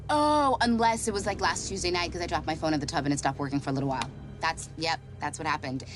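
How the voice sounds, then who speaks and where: A woman's voice: deep voice, fast talker, soft background music, natural voice. An actress playing a flustered young woman in a film clip, rambling nervously.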